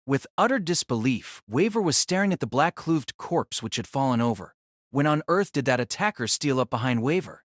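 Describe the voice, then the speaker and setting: A man's voice: mid-range voice, animated, normal speed, dry recording, studio quality. A man reading scripted, dramatic narration of a fantasy story as its narrator.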